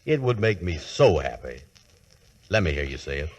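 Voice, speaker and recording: A man playing a slow, sheepish, lovestruck working man in a 1940s radio comedy, with folksy, hesitant speech. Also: rumbling low, big pitch swings, breathy edge, heavily acted. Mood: bashful, smitten. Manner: folksy, hesitant, nonstandard grammar. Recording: vintage 1940s radio broadcast recording.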